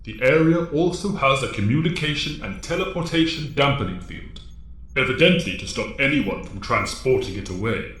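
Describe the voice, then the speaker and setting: Man as stern alien mentor, audio drama, measured formal delivery, expressive pitch, heavy echo. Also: close to an everyday voice. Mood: grave, instructive.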